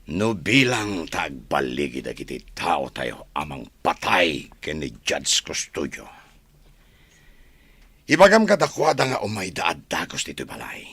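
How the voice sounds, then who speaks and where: A gravelly, boastful villainous governor. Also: male voice, rumbling low, sing-song, slow pace, a bit bright, dry recording, heavily acted. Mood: scheming. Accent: Ilocano. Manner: gloating, emphatic, boastful. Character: corrupt, boastful male provincial governor, menacing and scheming. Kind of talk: Ilocano radio drama acting.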